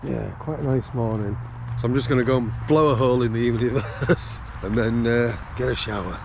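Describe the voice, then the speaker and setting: Gravelly-voiced man vlogging outdoors. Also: mid-range voice, fairly even intonation, fairly quick. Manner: chatty, wry, joking asides. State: gravelly, rough-voiced today. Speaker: travel vlogger. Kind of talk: casual vlog commentary. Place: outdoors in a town by a river and in a vehicle. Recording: outdoor street and traffic noise.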